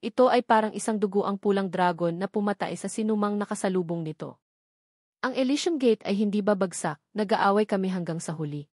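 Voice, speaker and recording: A woman's voice: mid-range voice, brisk, darkish tone, no echo, clean studio sound. A woman reading a scripted story as an audiobook narrator.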